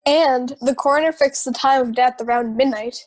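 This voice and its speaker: A woman playing a calm, doubting juror who keeps order, in an amateur audio drama. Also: high voice, moderate pace, natural voice. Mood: questioning.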